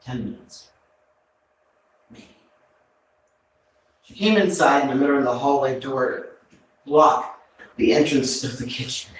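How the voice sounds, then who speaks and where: A man in a staged play reading, acting a remorseful prisoner who confesses to killing his family in halting, subdued, terse phrases. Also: mid-range voice, close to an everyday voice. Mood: grim, haunted. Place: arts center stage, indoors, recorded from afar. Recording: very echoey hall, distant mic, background noise.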